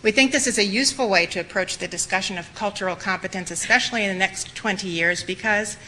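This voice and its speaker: A woman lecturing medical students, her voice bright and slightly hoarse from a cold. She speaks earnestly and reflectively, leaning on repetition for emphasis, with faint noise in the background. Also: mid-range voice, fast talker, rough recording. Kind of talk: spoken lecture.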